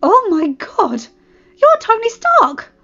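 A woman's voice: sits high, brisk, muffled recording, pitched above the performer's own voice, natural voice. A woman voicing a nervous, eager, polite female school receptionist in an audiobook story, stammering and apologetic. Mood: starstruck. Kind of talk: fan fiction audiobook character voice. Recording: band-limited audio with background music.

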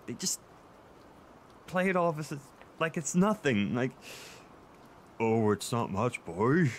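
A man playing an insecure, tearful boyfriend who seeks comfort in an intimate audio roleplay, speaking in a halting, stammering way. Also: on the low side, a little hiss or hum, lightly acted. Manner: halting, stammering, with nervous soft laughs. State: emotional, tearful.

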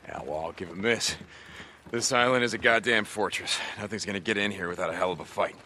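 An actor playing a gruff, guarded biker turned soldier in a video game, gravelly, terse and dryly muttering. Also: male voice, lowish voice, fast talker, bright, forceful tone, slight background noise.

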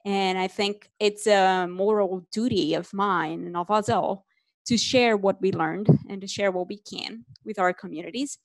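A woman in her thirties with a Brazilian Portuguese accent speaks earnestly on a video panel through a headset mic. Her voice sits at a medium pitch, and the sound is dry and close. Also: a little slow, no room sound. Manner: earnest, reflective, lightly self-joking. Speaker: panelist, podcaster. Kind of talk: panel discussion over video call. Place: small home room.

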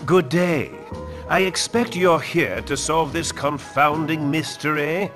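A man voicing a fussy, secretive gentleman in a cartoon video game, speaking in a formal, theatrical way. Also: fairly high pitch, slow, loud music behind the voice. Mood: grave, evasive. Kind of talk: animated video game character acting.

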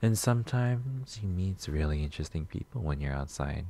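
A man playing a shy, sweet, nervous coffee shop regular in a soft-spoken ASMR roleplay, gentle, hesitant and rambling. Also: low voice, expressive, normal speed. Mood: calm, musing. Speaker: roleplay audio performer.

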